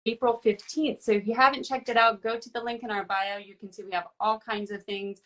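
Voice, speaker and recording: A woman's voice: a little high, steady tone, a little fast, fairly bright tone, rough recording. A woman hosting a live social media interview over a video call, talking in a friendly, informal way with some restarts. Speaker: host.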